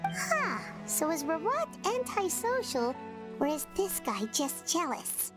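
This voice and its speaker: A woman voicing a tiny, chirpy female companion creature in a game, with a very high squeaky voice and a nosy manner. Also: huge pitch swings, slow pace, full character voice. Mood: wry. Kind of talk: game voice acting. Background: game music.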